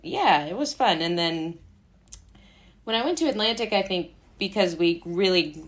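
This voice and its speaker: A woman's voice: on the low side, animated, moderate pace. An American woman from Brooklyn, a podcast guest, chatting casually in a rambling, self-interrupting way. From Brooklyn, New York, United States. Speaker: interview guest, playwright and actor. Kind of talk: casual chat.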